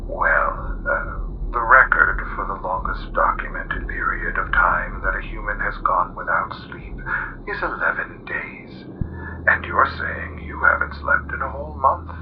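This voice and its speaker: An actor playing a formal, patronizing doctor on a radio call-in show, heard through lo-fi radio sound. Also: male voice, on the low side, animated, a little slow, noisy background. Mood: curious. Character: prim, composed male radio call-in doctor.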